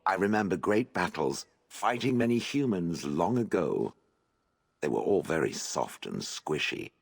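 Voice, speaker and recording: An ancient stone golem from a video game, with a low, raspy voice that rises and falls expressively. It sounds grumpy and haughty, full of dry scorn for soft humans. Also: male voice, animated, a little slow, exaggerated voice. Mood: scornful, dry. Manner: sardonic, haughty, blunt, dry. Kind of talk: video game dialogue acting.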